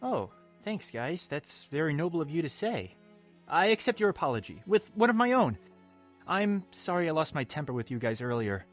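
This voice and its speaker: A courteous young man, played by a man, speaks formally in a fairly high, lively voice. He stays gracious and polished. Soft music plays behind him. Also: normal speed.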